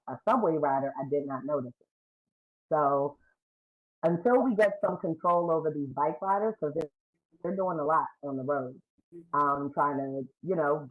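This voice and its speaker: A middle-aged woman with a deep, low voice, speaking during public comment at a community meeting. She talks bluntly and emphatically over a video call. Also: phone-quality sound. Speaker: community member asking questions.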